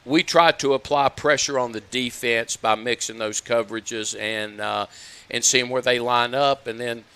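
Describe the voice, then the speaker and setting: A man who coaches football talks through his strategy casually, pausing with fillers. His voice is bright and forward, and it comes through clean. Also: medium pitch, fairly even intonation, clean tone. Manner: conversational, many fillers. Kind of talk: explaining game strategy.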